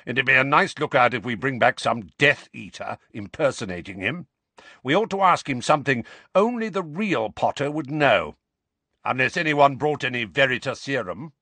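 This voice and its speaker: A man voicing a gruff, paranoid grizzled old wizard who growls irritably in an audiobook. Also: mid-range voice, animated, moderate pace, sharp tone, no echo, voice pushed up. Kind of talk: audiobook character voice.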